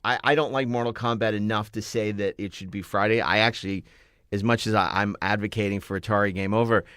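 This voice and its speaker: A man's voice: lowish voice, steady tone, quick pace, fairly bright tone, clean tone, dry recording, studio quality. A man hosting and moderating a casual podcast panel conversation.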